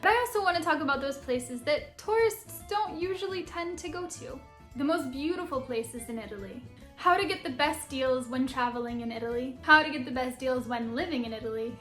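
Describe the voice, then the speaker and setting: An enthusiastic young woman from Philadelphia chats brightly to camera about her channel. Her voice sits high and rings around an echoey room. Soft music plays faintly underneath. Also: brisk. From Philadelphia, United States. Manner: enthusiastic, bubbly, laughs often. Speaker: vlogger and Italian teacher. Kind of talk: upbeat vlog introduction to camera.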